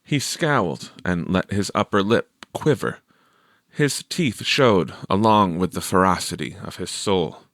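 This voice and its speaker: A man narrates a story in a lowish voice. The sound is dry, with no room on it, and the delivery is scripted audiobook storytelling. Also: animated, a little slow, a bit bright, dry recording. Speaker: audiobook reader.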